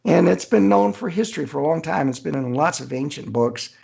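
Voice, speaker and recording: A man with a gravelly, husky voice answering casually in an interview as an author, chatty and thinking aloud. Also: a little high, lively intonation, brisk, darkish tone.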